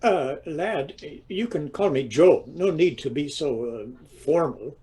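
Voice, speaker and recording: A man's voice: a little high, expressive, slow, mellow. A man playing a dim, easygoing husband who teases dryly in a radio drama. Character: Joe, dim, teasing husband of a diva.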